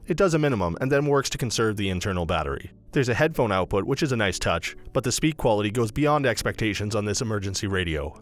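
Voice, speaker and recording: A man reading a scripted product review as a voice-over narrator, in a brisk, even read-aloud delivery. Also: medium pitch.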